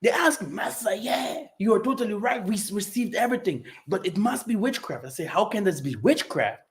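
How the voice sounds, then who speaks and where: Older guy, german first language, rambly livestream talk, reads bible verses, keeps clearing his throat. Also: high-pitched, expressive, brisk. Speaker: channel host. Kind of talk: livestream monologue with scripture reading.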